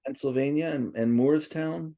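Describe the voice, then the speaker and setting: A man with a gravelly, dark voice tells stories in a loose, rambling way. He restarts often and wanders into asides. Swedish was his first language. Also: on the high side, normal speed. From born in Princeton, raised partly in Tokyo. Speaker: interviewee. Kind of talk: casual reminiscing interview.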